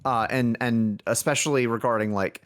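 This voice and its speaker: A man's voice: medium pitch, steady tone, moderate pace. A man chatting casually as a podcast guest, rambling and often correcting himself mid-sentence. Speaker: indie game developer, podcast guest.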